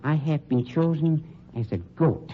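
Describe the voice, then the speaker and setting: An actor playing a suave, menacing funeral director turned sleuth, with an American accent and formal, pompous, insinuating speech in old-time radio drama style. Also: male voice, a little high, animated, measured pace. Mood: grimly determined. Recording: dull, noisy old radio recording.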